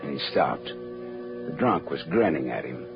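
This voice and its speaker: Cynical, world-weary detective narrator, very low register, dull vintage radio sound. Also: male voice, big pitch swings, a little slow, gravelly, full character voice. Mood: tense. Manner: sardonic, terse, world-weary delivery. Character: tough, cynical male private detective narrating his case. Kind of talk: 1940s radio drama, hard-boiled first-person narration. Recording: muffled old radio recording with loud music.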